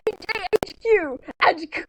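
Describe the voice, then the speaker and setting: High, animated teenage girl. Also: normal speed, a little hiss or hum, rough recording. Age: teens. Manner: giggly, rambling, sarcastic asides. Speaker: co-host of a fanfiction reading. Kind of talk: casual chat with mocking read-aloud commentary.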